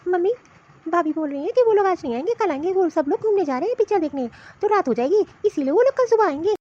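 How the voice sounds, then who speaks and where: Woman as young married sister, cartoon family story, extremely high voice, hurt, plaintive, muffled recording. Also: animated, fast, mellow. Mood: anxious, pleading. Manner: plaintive, disappointed. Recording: dull, lo-fi cartoon voice track.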